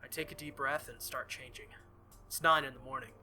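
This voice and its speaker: A man doing animated, exclamatory playthrough commentary while reading a visual novel aloud. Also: medium pitch, fairly quick, music in the background.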